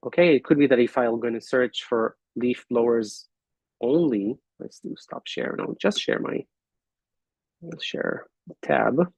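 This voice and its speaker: Host, male, gravelly, hesitant and thinking aloud, dry close video-call sound. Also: mid-range voice, darkish tone, no echo. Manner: halting, thinking aloud with fillers and restarts. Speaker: host of an online business forum. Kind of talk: casual screen-share walkthrough.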